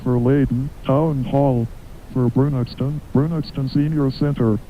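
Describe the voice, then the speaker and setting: A man's voice: fairly even intonation, unhurried. A man reading a scripted list as an emergency announcer, clipped and impersonal. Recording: dull lo-fi broadcast, faint rumble.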